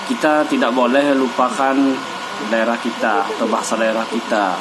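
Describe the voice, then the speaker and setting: A young man from Sabu island speaks at an unhurried, deliberate pace, saying each word twice so viewers can follow. His voice sits at an ordinary middle pitch. A steady hum like a hair dryer runs behind him the whole time. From Sabu island, East Nusa Tenggara, Indonesia. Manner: slow, deliberate, pointing at his face and repeating words. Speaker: vlogger teaching his local language. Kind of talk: casual to-camera language lesson.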